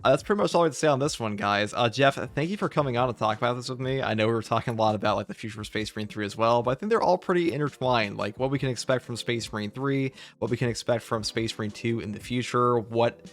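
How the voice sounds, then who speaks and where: A man's voice: mid-range voice, fast talker, few pauses. A man hosting a casual gaming commentary discussion, speaking in a conversational, opinionated way. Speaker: video game channel host. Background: background music.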